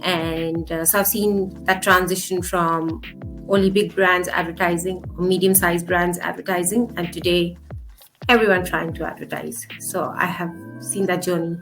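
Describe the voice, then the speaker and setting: A woman's voice: lowish voice, steady tone, moderate pace, fairly bright tone, soft background music, rough recording. Indian-born woman in her forties, marketing guest. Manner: thoughtful, with frequent fillers. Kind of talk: interview answers.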